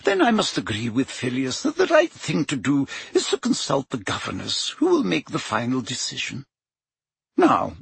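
Man doing a brisk stern headmistress. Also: on the high side, vocal fry, a bit bright, husky, no room sound, voice pushed up, full character voice. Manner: brisk, formal.

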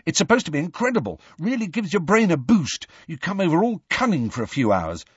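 A man's voice: a little high, big pitch swings, a little fast, breathy edge, no echo, dull audio, pitched above the performer's own voice, exaggerated voice. A man voicing an eager, impulsive teenage schoolboy with a casual way of talking in an audiobook. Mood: eager, pleading.

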